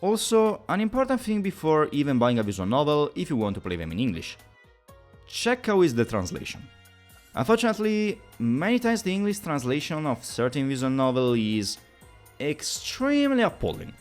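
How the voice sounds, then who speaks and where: A man's voice: fairly high pitch, huge pitch swings, moderate pace, faint music behind. A man narrating a scripted explainer video in an emphatic, conversational way, posing rhetorical questions as he goes. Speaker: YouTube video essayist and presenter.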